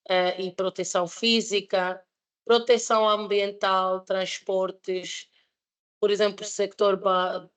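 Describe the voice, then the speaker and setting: A woman in her thirties, not a native Portuguese speaker, presenting a project at a panel debate with many fillers and restarts. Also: mid-range voice. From Switzerland. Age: 30s.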